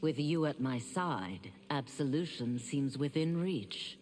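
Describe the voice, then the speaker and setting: Determined fighter seeking redemption, low voice, solemn, lots of reverb. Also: female voice, lively intonation, slow, darkish tone, a little hiss or hum, full character voice. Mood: solemn, resolute. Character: solemn, determined female warrior seeking absolution. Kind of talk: video game character dialogue.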